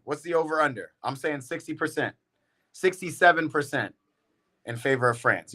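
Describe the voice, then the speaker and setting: A man's voice: mid-range voice, fairly bright tone. A man hosting a football watch-party livestream, chatting casually and tiredly with viewers in slangy talk. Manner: slangy and laid-back, calls viewers bro and y'all.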